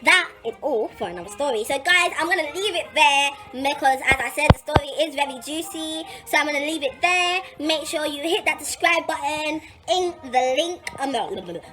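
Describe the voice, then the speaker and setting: A young adult woman with a London accent telling a personal story in casual, slangy, animated chat, repeating phrases for emphasis. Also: high voice, moderate pace, hardly any pauses, music in the background.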